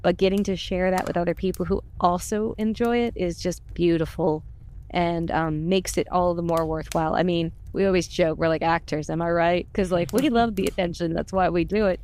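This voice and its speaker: A woman's voice: lowish voice, fairly even intonation, normal speed, darkish tone, slight background noise. A woman chatting casually and informally about a podcast project, in a gushing, talkative way. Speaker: podcast cast member and volunteer. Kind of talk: casual chat.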